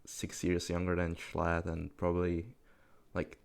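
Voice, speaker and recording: Guy, 18, deep creaky voice, rambling gameplay commentary, swears a lot, laughs at himself. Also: monotone, a little slow, darkish tone. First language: Czech. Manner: rambling, self-deprecating, swears casually, laughs often. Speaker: gaming commentary YouTuber.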